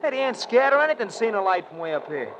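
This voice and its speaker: Excitable, swooping-voiced naive sidekick. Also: male voice, high voice, quick pace. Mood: eager wonder. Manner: eager, gee-whiz, slangy. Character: excitable, naive, good-natured male sidekick. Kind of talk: 1940s radio acting. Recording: muffled old radio, engine noise.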